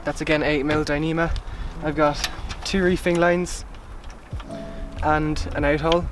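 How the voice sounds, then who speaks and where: A man's voice: a little high, a little slow. A young man giving a casual, matter-of-fact tour of his small sailboat outdoors in a harbour, recorded by a camera held nearby. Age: young adult. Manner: relaxed, matter-of-fact explaining with fillers. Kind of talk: casual explanatory vlog. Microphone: camera held by someone nearby. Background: music.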